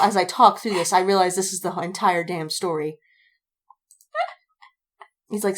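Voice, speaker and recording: A woman podcast co-host chatting casually, telling stories in a rambling way with acted-out quotes and frequent swearing. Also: fairly low pitch. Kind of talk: casual chat.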